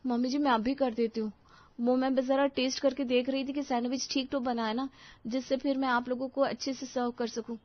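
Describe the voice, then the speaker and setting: A woman's voice: sits high, flat delivery, fairly quick, no room sound, dull audio, lightly acted. A woman voicing a young, eager new bride, polite and chatty in a dramatized family story. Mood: meek, polite.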